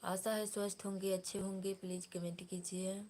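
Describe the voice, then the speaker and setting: A woman's voice: mid-range voice, flat delivery, normal speed, a little mellow, slight background noise. A woman from Bihar, hosting a casual live stream, greeting viewers by name and asking them to comment. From Bihar, India.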